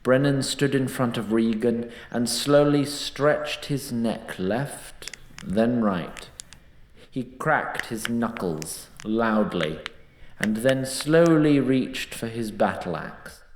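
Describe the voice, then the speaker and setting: A man narrating a fantasy story in a dramatic, deliberate, scripted storytelling style. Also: medium pitch, reverberant, a little hiss or hum, rough recording.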